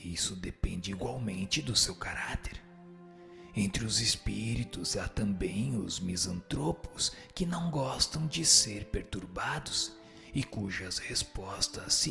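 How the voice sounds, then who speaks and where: A man playing a calm, firm spirit teacher who gives measured, didactic answers in a scripted reading, with a breathy, gravelly voice. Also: on the low side, exaggerated voice. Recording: music bed under the voice.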